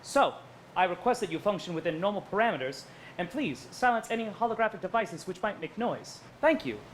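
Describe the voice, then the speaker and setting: An actor playing an emotionless, earnest android officer with stiff, precise speech in a musical comedy stage reading. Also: male voice, sits high, animated, fairly quick, slight background noise. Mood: formal, deadpan. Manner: stiff, formal, precise wording. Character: emotionless male android officer, earnest, formal, staging a show. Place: live staged reading at a theater festival.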